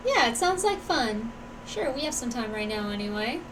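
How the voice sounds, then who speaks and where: A woman's voice: fairly high pitch, big pitch swings, normal speed, very noisy. A woman streamer casually reading along and reacting to a video game. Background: game music.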